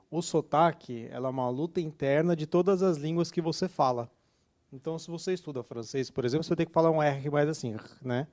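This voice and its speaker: A man's voice: mid-range voice, a little mellow. A man with a Brazilian accent, co-teaching a lesson in an informal, conversational way with frequent tag questions.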